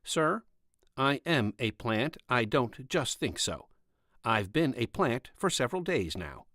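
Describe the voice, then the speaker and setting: A man's voice: medium pitch, big pitch swings, slow, bright, forceful tone, no echo, clean studio sound, full character voice. A man voicing a shy, earnest young corporal for an audiobook, hesitant, polite and evasive. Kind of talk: audiobook character dialogue.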